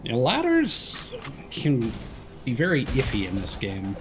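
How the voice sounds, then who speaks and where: A man's voice: mid-range voice, huge pitch swings, slow pace. A man streaming a video game with casual, musing and mildly critical live commentary. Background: video game music.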